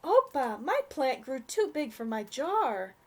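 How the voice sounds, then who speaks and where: A woman voicing a small, curious, wistful girl in a storybook, speaking in a gentle, earnest way. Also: sits high, big pitch swings, unhurried, exaggerated voice.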